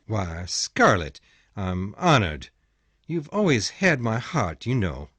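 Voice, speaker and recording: A soft-spoken young gentleman from a storybook, gentle but pained and torn, as a man acts him. His voice is restrained yet lively, moving up and down a lot. The recording is dry and close. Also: on the low side, slow, no room sound, full character voice. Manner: soft, restrained, turning harsh when refusing.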